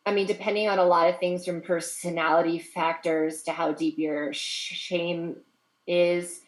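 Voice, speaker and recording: Brief: middle-aged woman, candid and thoughtful, lowish voice, roomy echo. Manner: candid, reflective, laughs easily while telling stories. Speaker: group chat participant. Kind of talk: casual chat.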